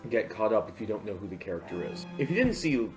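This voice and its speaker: A man's voice: on the low side, sing-song, fast talker. A man giving an informal, comedic film review with sarcastic, exaggerated commentary. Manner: sarcastic, exaggerating for comic effect. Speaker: video reviewer and host. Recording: roomy echo under music.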